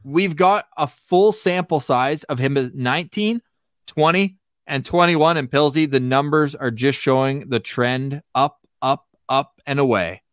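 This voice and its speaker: A man's voice: mid-range voice, a little slow, fairly bright tone, no room sound, studio quality. A man hosting a sports podcast, talking through hockey stats conversationally and leaning on the numbers for emphasis. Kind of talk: lively hockey talk with stats.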